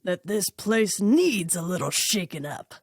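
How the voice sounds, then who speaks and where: A woman's voice: lowish voice, animated, measured pace, husky, no room sound, studio quality, full character voice. A woman voicing a cheeky, wheedling male poltergeist in an audio story, with a sly, slangy drawl. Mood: cocky. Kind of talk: fan fiction audio story character voice.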